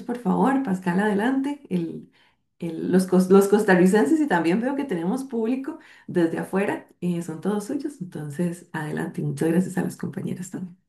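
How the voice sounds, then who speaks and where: A woman hosts a webinar over a video call, announcing the speaker and talking straight to the audience. Her voice is low-pitched and mellow. The call audio carries a roomy echo. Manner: announcing, direct address to the audience. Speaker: webinar moderator. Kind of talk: introducing a speaker.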